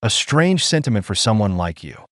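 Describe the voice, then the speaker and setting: A weary alien mother, acted out by a male narrator, speaks in measured, formal phrases. She is proud, gentle and firm, in a dry, close audiobook sound with lively pitch movement. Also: no room sound. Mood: accusing, defiant. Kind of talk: audiobook character dialogue.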